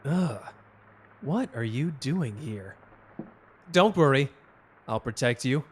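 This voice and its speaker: A teasing, flirtatious detective from an audio drama, acted by a man. His mid-pitched voice swings up and down with great animation. He is flippant and melodramatic, a smooth talker who needles his rival. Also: expressive, takes it slowly. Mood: teasing, smug.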